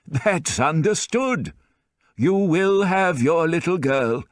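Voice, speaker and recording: A doting old grandfather, slow and fond, his voice raised well above a low baritone, as a male narrator puts him on. He leans on his words with tender emphasis, drawn out and lively in pitch. The read is dry and close. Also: dry recording. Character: doting elderly grandfather, tearful and warm.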